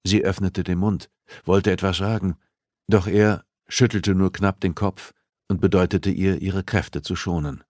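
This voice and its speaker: A man's voice: low voice, steady tone, measured pace, darkish tone, dry recording, clean studio sound. A man reading a thriller novel aloud as scripted audiobook narration.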